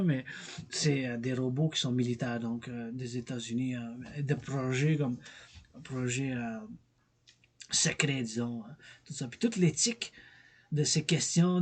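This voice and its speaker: A middle-aged man with a Québécois French accent, his voice a little husky. He drifts from point to point in a loose, casual film review, stopping to repeat words and fill pauses. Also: mid-range voice. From Quebec. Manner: digressive, repeats words, many fillers. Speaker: vlogger reviewing films.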